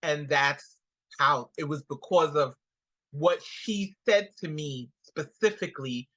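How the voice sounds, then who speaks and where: Bright-voiced guyanese woman telling stories. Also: sits low, slow. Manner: animated storyteller, acts out quotes. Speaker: guest comedian. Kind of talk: casual interview chat.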